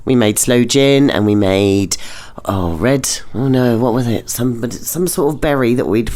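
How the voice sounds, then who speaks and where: A woman's voice: rumbling low, measured pace, warm tone. A woman chatting casually as a podcast guest. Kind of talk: casual chat.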